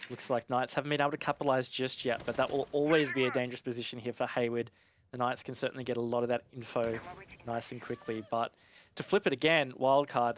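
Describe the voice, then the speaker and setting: A man's voice: mid-range voice, a little hiss or hum. A man giving conversational, analytical commentary on a live esports match. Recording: game gunfire and clicks behind voice. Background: game sound with gunshots.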